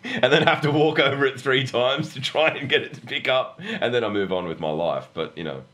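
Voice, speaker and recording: An Australian man, hoarse and husky with flu, hosts a casual gaming podcast chat in a jokey, self-deprecating, sweary way. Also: mid-range voice, brisk, a bit bright. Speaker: podcast host.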